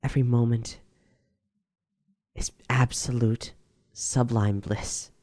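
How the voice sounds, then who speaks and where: A woman playing an ecstatic woman in love in a horror audio drama, in a slow, breathy, theatrical whisper. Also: very deep voice, steady tone, dark tone. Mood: rapturous.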